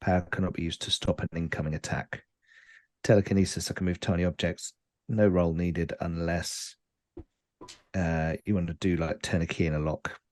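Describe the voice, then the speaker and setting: A man's voice: sits low, fairly even intonation, moderate pace, a little mellow. A man chatting casually as a player in a tabletop roleplaying game. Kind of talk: casual chat.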